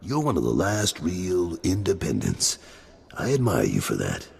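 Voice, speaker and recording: An actor playing a blunt, gruff gangster boss in a video game. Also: male voice, low-pitched, wildly swooping pitch, unhurried, a little mellow, big-room sound, full character voice.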